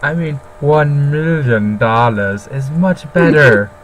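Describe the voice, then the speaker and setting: A man's voice: a little high, animated, slow pace, a bit bright, a little hiss or hum, rough recording. A man in casual banter as a heckling co-host, teasing with crude humour.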